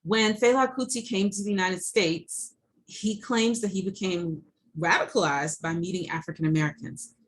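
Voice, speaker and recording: A middle-aged woman lecturing to students over a set of slides, her voice sitting somewhat low for a woman. She talks loosely and conversationally, pausing on ums and restarting her sentences as she goes. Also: rough recording. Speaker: college professor.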